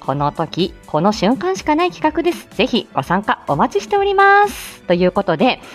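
A woman's voice: fairly high pitch, huge pitch swings, moderate pace, faint music behind. A woman hosting a live audio show, chatting casually and politely through morning announcements.

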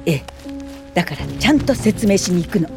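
A woman's voice: mid-range voice, animated, brisk, a little mellow, faint music behind, natural voice. A woman playing a determined, compassionate pioneer social worker, earnest and polite, in a radio drama. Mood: resolute.

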